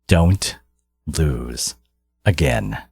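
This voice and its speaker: A man playing a theatrical, possessive supervillain in a scripted audio roleplay, scornful and grandiose toward his archnemesis, with a husky voice. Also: very deep voice, very slow, a little mellow, dry recording, soft background music, exaggerated voice. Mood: angry, possessive. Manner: grandiose, exasperated, dramatic emphatic delivery.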